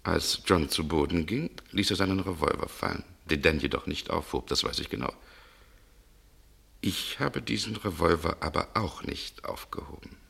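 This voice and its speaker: A male actor playing a shrewd, cool man in a German radio mystery, speaking in a gravelly, deliberate, insinuating way. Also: low voice, fairly bright tone, heavily acted. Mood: accusing, controlled. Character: shrewd, cool man coolly interrogating a murder suspect.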